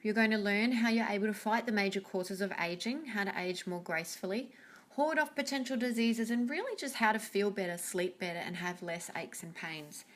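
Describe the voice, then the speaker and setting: A woman hosts the webinar and opens it with a scripted welcome. Her delivery has a bright, inviting announcer tone, at a middle pitch. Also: brisk. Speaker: webinar host.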